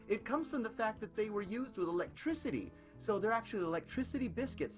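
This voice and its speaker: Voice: mid-range voice, a bit bright, close to an everyday voice. An actor playing a nosy, chatty hypnotist who rambles about odd trivia, in an improvised radio play.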